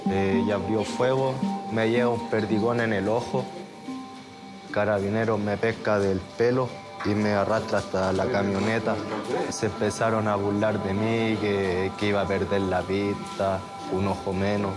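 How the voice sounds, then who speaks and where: Low, flat man over loud music. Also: normal speed. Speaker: interviewed protester in a news clip. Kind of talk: testimony in a documentary clip. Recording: archive clip with loud music.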